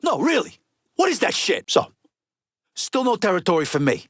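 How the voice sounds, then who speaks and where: A man's voice: high-pitched, wildly swooping pitch, normal speed, fairly bright tone, slightly rough, dry recording, studio quality, heavily acted. A man playing a tough, resentful middle-aged Italian-American mobster in a video game, with an East Coast city American accent and a blunt, streetwise way of talking. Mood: angry, indignant. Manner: blunt, profane, streetwise wiseguy talk. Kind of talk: video game character dialogue.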